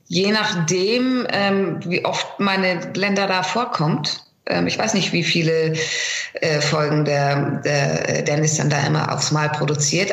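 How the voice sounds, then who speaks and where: A middle-aged woman speaking candidly and casually as an interview guest, telling things in an animated way. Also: deep voice. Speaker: interview guest, voice actress and dubbing director. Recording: roomy, echoing sound.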